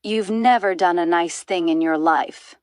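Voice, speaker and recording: A woman's voice: fairly low pitch, a little slow, smooth, dry recording, clean studio sound, lightly acted. A woman voicing a big, stern elephant in a storybook, scolding with emphatic indignation.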